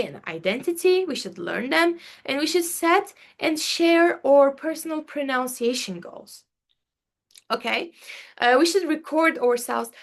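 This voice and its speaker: Young turkish woman doing a class presentation, high voice, lots of uh and like, keeps asking okay?. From Turkey. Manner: hesitant, many fillers and restarts, checks in with "okay?". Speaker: student presenter.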